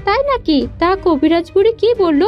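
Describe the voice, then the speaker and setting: A woman's voice: very high voice, animated, moderate pace, muffled recording. A woman voicing an eager, girlish and whiny younger sister in a cartoon fairy tale. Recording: background music under the voice.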